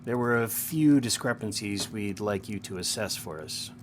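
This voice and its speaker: A man playing a stern, suspicious superior in an audio drama, questioning a subordinate in a clipped, pointed, quietly menacing way. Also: on the low side, normal speed, lightly acted. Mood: cool, probing. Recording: noticeable background hum and noise.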